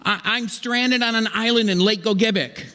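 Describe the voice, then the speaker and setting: A man's voice: sits high, moderate pace, pushed and bright. A man playing a flustered, pleading stranded ice fisherman in an old-time radio comedy sketch, stammering dramatically and repeating himself. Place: theatre stage. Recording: echoey theatre hall with long reverb.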